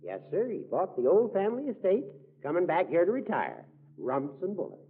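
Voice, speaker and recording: An actor playing a cheerful, teasing old small-town judge in a brisk, folksy way for 1940s radio comedy. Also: male voice, sits high, huge pitch swings, husky, heavily acted. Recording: muffled, humming, noisy 1940s radio recording.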